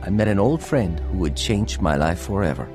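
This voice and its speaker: An actor playing a gentle, born-again young former boxer in a radio drama. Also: male voice, deep voice, animated, moderate pace, over music. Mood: warm, glad. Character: reformed young ex-boxer, gentle, born-again suitor narrating his life.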